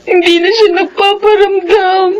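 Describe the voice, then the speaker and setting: Woman acting a very high-pitched pleading young Filipina. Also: steady tone, a little slow, poor audio. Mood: tearful, confessing. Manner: plaintive, pleading. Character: tearful, anxious young pregnant student daughter, pleading. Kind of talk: melodramatic radio-style drama acting in Tagalog.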